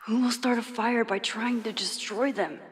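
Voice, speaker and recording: A woman's voice: on the high side, fairly even intonation, moderate pace, in a large hall, close to an everyday voice. A woman playing an earnest, determined young investigator in a video game, speaking in an introspective way. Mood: brooding, resolved.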